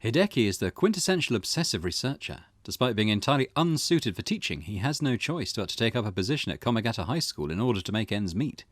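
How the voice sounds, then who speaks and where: A man's voice: fairly low pitch, sing-song, quick pace, few pauses, no room sound, clean studio sound. A man hosting a gaming playthrough, reading visual novel text aloud as narration, with music behind him. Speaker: gaming channel host.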